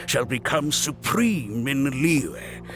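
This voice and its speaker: An actor voicing a pompous, easily offended scholar in a video game, blustering and halting as he holds forth. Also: mid-range voice, very animated, over music. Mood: self-important bluster. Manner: blustering, halting, self-important. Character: pompous, flustered male scholar of ancient coins, easily offended.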